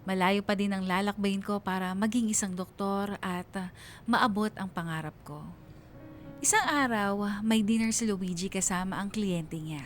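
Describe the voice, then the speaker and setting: A woman's voice: medium pitch, moderate pace, faint music behind, lightly acted. Woman acting as young woman telling her story. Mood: reflective. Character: young woman confiding her love and dreams. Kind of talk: first-person radio drama narration.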